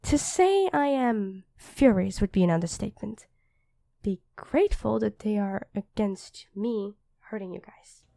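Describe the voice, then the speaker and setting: A woman's voice: medium pitch, animated, unhurried, gravelly, dark tone, no room sound, studio quality. A woman playing a feisty, protective demon queen who is a devoted girlfriend, commanding yet affectionate, in an ASMR roleplay. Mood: stern, commanding.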